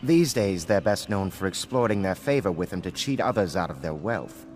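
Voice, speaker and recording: An actor playing a disdainful, scheming aristocrat in a video game, with a formal, deliberate, haughty delivery. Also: male voice, sits low, lively intonation, darkish tone, a little hiss or hum. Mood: bitter, confiding. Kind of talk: scripted game dialogue.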